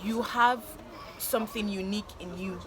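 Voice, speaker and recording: A woman's voice: mid-range voice, steady tone, moderate pace. Female host, thoughtful and filler-heavy; outdoor recording with heavy crowd noise. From Nigeria. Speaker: vlog host. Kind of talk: casual interview. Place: outdoors by a busy street.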